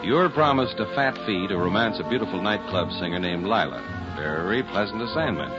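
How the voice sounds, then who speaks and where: A man announcing on 1940s radio, reading scripted narration and sponsor copy in an emphatic, polished delivery. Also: low-pitched. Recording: muffled vintage radio recording.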